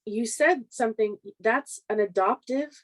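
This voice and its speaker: A woman's voice: a little high, a little slow, a bit bright. A woman co-hosting a podcast, friendly and affirming, often backing up the others with "yeah" and short replies. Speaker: podcast co-host. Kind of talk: casual podcast discussion.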